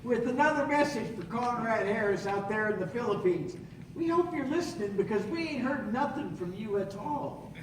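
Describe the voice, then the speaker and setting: An actor playing a homespun working-class husband, talking colloquially in a wartime radio play. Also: male voice, very high voice, expressive, normal speed. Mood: eager. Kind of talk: 1940s radio play acting. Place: stage of an opera house, live show. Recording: echoey hall, distant mic, clear noise.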